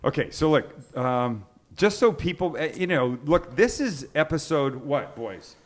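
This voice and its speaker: A man's voice: on the high side, lively intonation, unhurried. A man hosting an online cooking show, chatting casually and stretching out words for emphasis. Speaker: host of an online cooking livecast. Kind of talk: casual talk-show interview.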